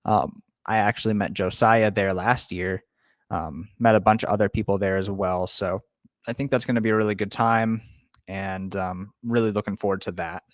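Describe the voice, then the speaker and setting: A young man talks loosely to his viewers during a live stream, greeting people in the chat and trailing off with frequent ums. His voice sits a bit low. The sound is close and dry, with little room around it. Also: fairly even intonation, moderate pace, dry recording. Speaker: livestream host. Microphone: webcam setup.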